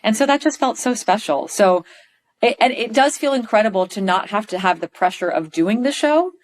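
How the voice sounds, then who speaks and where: A middle-aged woman from Pennsylvania, a stage performer chatting as a podcast guest, gushing and animated, with lots of restarts. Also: medium pitch, normal speed. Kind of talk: casual interview chat.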